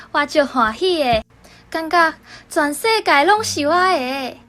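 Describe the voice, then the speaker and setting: A young woman with a Taiwanese accent reads a reflective personal essay. Her voice sits fairly high and she takes her time, with a very slow, measured pace. Music plays softly behind her. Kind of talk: reading a personal essay aloud.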